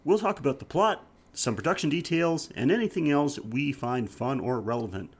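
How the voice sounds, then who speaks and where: A man with a mid-pitched voice hosts a casual podcast while nursing a head cold. He chats in a joking, self-deprecating way as he addresses listeners. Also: lively intonation, moderate pace. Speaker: podcast host. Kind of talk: casual chatty podcast hosting.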